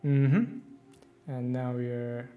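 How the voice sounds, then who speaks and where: A man giving casual live commentary as he plays a game, talking in a halting, unhurried way. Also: medium pitch, fairly even intonation, phone-quality sound, soft background music. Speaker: streamer co-hosting a game playthrough.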